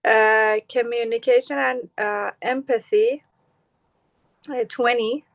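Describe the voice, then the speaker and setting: A woman on a video call, sharing her answers hesitantly with many pauses. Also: a little high, steady tone, gravelly. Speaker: workshop participant.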